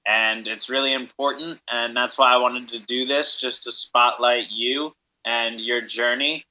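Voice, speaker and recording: A man with a very bright, punchy voice hosts a podcast. He is enthusiastic and upbeat, talking in a casual, slangy way as he addresses guests and listeners. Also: medium pitch, steady tone, unhurried, poor audio. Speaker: podcast host.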